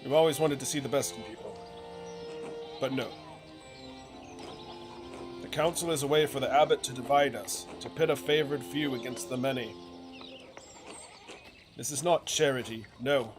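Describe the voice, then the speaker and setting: A man's voice: mid-range voice, steady tone, moderate pace, long pauses, music in the background. A man reading game dialogue aloud in a theatrical way, occasionally stumbling over words and correcting himself. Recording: noisy recording with clear background sound.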